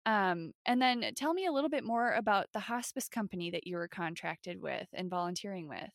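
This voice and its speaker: Gravelly Minnesotan woman host. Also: medium pitch, lively intonation, a little fast, no echo, clean studio sound. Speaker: podcast host, nurse. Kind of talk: casual podcast interview.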